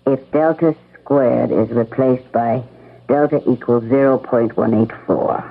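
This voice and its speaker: An actor playing a dutiful, formal assistant reading back notes in a radio drama. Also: male voice, mid-range voice, measured pace, gravelly. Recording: tinny narrow-band old radio.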